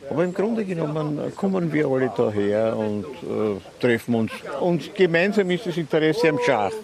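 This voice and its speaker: Older male park regular, lively up-and-down pitch, hesitant musing, crowd noise. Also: normal speed.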